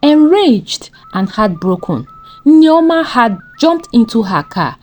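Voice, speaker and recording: A woman's voice: on the high side, very animated, takes it slowly, a little mellow, faint music behind. A woman with a Nigerian accent narrating a scripted folktale as a storyteller.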